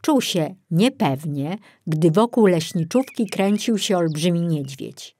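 A woman narrating a children's storybook in an expressive, deliberate style. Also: lowish voice, breathy edge. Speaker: audiobook narrator.

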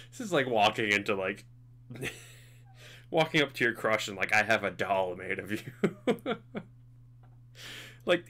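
A man's voice: medium pitch, a bit bright. A man in his twenties giving casual, laughing commentary while playing a game at home. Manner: laughing, chatty, self-deprecating. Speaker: let's play host. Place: home room, indoors.

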